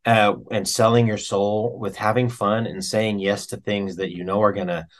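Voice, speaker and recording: A man's voice: fairly low pitch, little pitch movement, normal speed. An American man talking as an interview guest, a working musician speaking in a casual, reflective and candid way, often informal. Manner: informal and candid, with frequent "man" and "you know".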